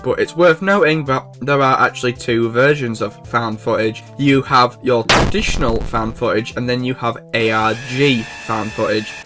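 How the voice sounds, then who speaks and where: A man's voice: mid-range voice, normal speed, hardly any pauses. Male video essay narrator over background music. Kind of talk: informal scripted narration.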